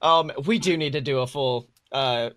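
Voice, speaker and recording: A man's voice: medium pitch, moderate pace, a bit bright, clear, resonant tone, no echo. A man co-hosting a podcast, bantering casually in an irreverent, slangy, foul-mouthed way. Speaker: podcast co-host.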